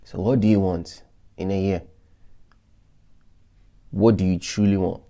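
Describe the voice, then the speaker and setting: A man's voice: low voice, steady tone, measured pace, warm tone. A man vlogging to his followers in a casual, reflective way, talking through his goals with loose restarts and many fillers. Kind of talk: casual motivational talk to followers.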